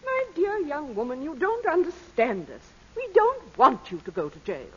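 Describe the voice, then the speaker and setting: An exasperated, imperious grand lady. Her very high voice swoops with haughty indignation, in a dull vintage radio play recording. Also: huge pitch swings, moderate pace, a bit bright, full character voice. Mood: indignant. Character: imperious, exasperated English duchess, haughty and sharp-tongued. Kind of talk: 1940s radio drama acting.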